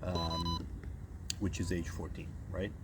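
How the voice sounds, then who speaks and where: Interviewee, male, 30s, from Croatia; very low voice, relaxed filler-heavy talk, noisy recording. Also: slow. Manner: relaxed, says "you know" and "right?" a lot. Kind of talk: casual interview answers.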